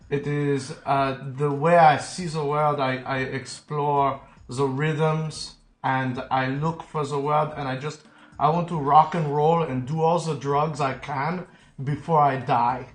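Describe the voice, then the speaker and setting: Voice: medium pitch, fairly even intonation, measured pace, reverberant, natural voice. Someone playing a spaced-out Swiss rocker with a French-German accent. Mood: spacey. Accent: French-German put-on. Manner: rambling, hesitant, with many uhs. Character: burned-out Swiss male rock bassist. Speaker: player.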